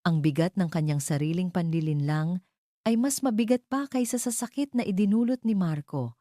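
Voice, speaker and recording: Female narrator, low-pitched, dark soft tone, melodramatic, deliberate, romance storytelling. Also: no room sound, clean studio sound. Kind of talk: dramatic scripted love story narration.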